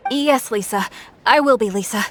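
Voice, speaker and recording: A woman's voice: a little high, normal speed, lightly acted. A woman playing a cheerful, eager shopkeeper in a video game, gushing and polite. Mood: apologetic. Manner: bright sales patter, gushing, polite. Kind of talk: English video game voice acting.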